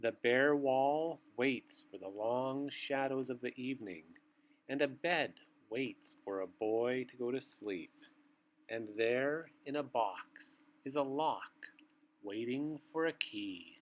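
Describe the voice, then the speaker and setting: A man reading a picture book aloud to young children, with theatrical, drawn-out phrasing and asides to his listeners. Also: medium pitch, low-quality recording.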